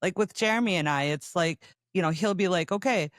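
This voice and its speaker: A woman's voice: on the low side, a little fast, no room sound, clean studio sound. A woman podcast host chatting casually in a friendly, appreciative way. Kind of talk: casual chat.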